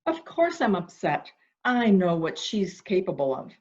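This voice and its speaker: A woman's voice: medium pitch, big pitch swings, a little slow, darkish tone. A woman playing a fretful, intense, protective member of a household in a melodramatic mystery reading, in slightly dull sound. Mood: upset, defensive. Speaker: amateur reader, library staff. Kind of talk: dramatic reading of a murder mystery.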